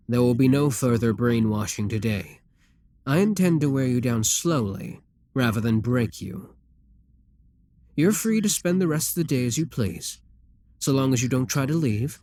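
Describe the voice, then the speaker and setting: A man's voice: medium pitch, measured pace, a little mellow, no echo. A man playing a proud, seductive demon king in an ASMR roleplay, speaking in an imperious, courtly and theatrical manner. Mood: softly coaxing.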